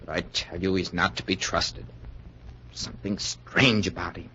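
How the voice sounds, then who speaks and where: A man's voice: deep voice, fairly even intonation, normal speed, pushed and bright, slight background noise. An actor playing an earnest, suspicious suitor in a 1940s radio thriller, urgent and insistent in his delivery. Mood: wary, insistent. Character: suspicious, jealous, earnest male suitor warning of danger. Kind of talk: 1940s radio suspense acting. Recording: muffled 1940s radio recording.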